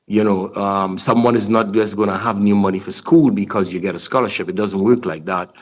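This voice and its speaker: A man's voice: fairly low pitch, steady tone, a little fast. A man from Trinidad answering audience questions at a sports symposium, earnest and explanatory, often restarting his words. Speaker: basketball program speaker.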